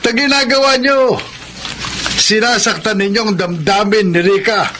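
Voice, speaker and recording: Indignant high-voiced brother. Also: male voice, fairly even intonation, moderate pace, sharp tone. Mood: reproachful. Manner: admonishing, emphatic. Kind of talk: Filipino radio drama acting. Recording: noisy radio drama recording.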